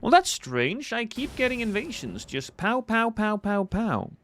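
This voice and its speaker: Playful Norwegian man with a lively pitch. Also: measured pace. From Norway. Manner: playful, joking banter with chat; reacts loudly to in-game action. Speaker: streamer. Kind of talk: casual live gameplay stream chat.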